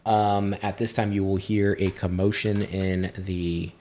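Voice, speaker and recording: Man, deep voice, game master, improvised fantasy narration, halting casual explanations. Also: level tone, warm tone, slight background noise.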